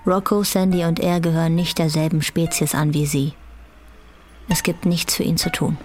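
A woman's voice: low voice, little pitch movement, moderate pace, darkish tone. A woman reading scripted narration for a German radio drama. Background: guitar music.

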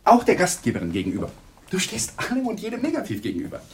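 An actor playing a weary, sardonic and defensive lawyer husband in a German radio crime play, with a dry, ironic delivery. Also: male voice, high-pitched, huge pitch swings, very fast, darkish tone, slight background noise, full character voice. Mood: bitter.

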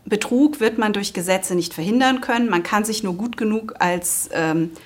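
A woman's voice: medium pitch, moderate pace. A woman speaking as an official spokesperson, giving formal, matter-of-fact explanations in an interview. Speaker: financial regulator spokesperson.

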